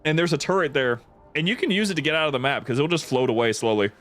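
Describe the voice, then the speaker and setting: A man's voice: fairly high pitch, quick pace, a bit bright. A man hosting a gameplay stream, chatting casually and jokily with mild exclamations, with music behind him. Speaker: stream host playing a modded shooter game.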